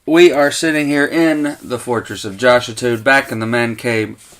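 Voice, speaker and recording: A man's voice: medium pitch, moderate pace, a bit bright. A man in his thirties with a Southern American accent, co-hosting a casual podcast chat in a home man cave, upbeat and joking. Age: 30s. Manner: upbeat, joking, laughs often. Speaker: podcast co-host.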